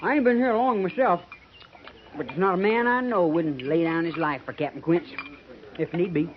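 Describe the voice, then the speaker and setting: An actor playing an earnest, trusting young American cavalry trooper in a folksy 1950s radio western. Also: male voice, high-pitched, normal speed, lightly acted. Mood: admiring. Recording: dull, noisy 1950s radio transcription.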